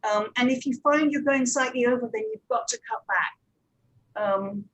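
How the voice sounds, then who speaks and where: A woman's voice: sits high, sing-song, moderate pace, poor audio. A woman giving informal, practical advice as a speaker on a conference panel. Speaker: panel speaker, audio drama producer.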